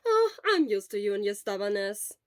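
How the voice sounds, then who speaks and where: A woman's voice: medium pitch, big pitch swings, moderate pace, no echo, studio quality, close to an everyday voice. A woman voicing a caring, earnest teenage boy, a loyal friend who talks emphatically and energetically, in an audio story. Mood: earnest, reassuring.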